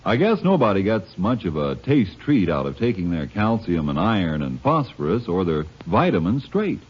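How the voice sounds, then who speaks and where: A male announcer gives a folksy, chatty old-time radio sales pitch. His pitch swings widely and his tone is a bit husky. The sound is dull, like a vintage broadcast. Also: sing-song, normal speed. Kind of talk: 1950s radio cereal ad.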